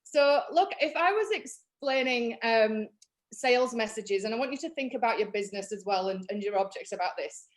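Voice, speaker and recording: A woman's voice: a little high, lively intonation, moderate pace. Chatty Leeds woman giving a workshop. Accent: Yorkshire (Leeds). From Leeds, England. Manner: chatty, practical, frequent ums and you-knows. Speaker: marketing trainer. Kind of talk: webinar workshop talk.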